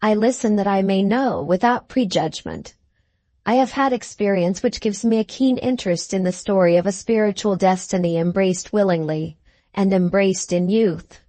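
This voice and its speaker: Woman reading as a courteous, earnest young gentleman. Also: mid-range voice, no echo, close to an everyday voice. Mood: earnest, respectful. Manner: polite, measured, formal. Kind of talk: literary audiobook character reading.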